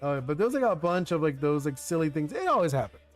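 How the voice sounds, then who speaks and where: A man's voice: fairly high pitch, big pitch swings, fast. Chatty young man, music faintly behind. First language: Spanish. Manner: chatty, rambling, lots of "like" and restarts. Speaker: streamer designing a card game. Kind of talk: casual live-stream chat with viewers.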